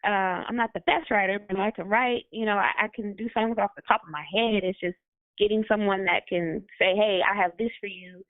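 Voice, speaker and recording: A woman's voice: medium pitch, steady tone, a little fast, no room sound. A woman musician answering interview questions in a chatty, apologetic way, with frequent laughs. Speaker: guest musician. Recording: tinny phone or call line.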